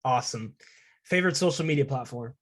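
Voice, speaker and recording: Young man, mid-range pitch, podcast interviewer, casual, student host. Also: fast. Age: young adult.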